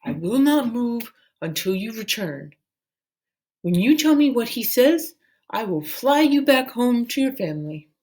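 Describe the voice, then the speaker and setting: A woman voicing a big, gentle, sad male dragon in a storybook, speaking slowly and earnestly. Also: fairly high pitch, sing-song, voice pushed up. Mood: hopeful, devoted. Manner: slow, earnest, simple delivery.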